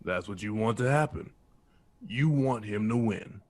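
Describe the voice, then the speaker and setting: An actor playing a wise, blunt old man with a deliberate, oratorical manner in an audio drama. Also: lowish voice. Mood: pointed. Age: older.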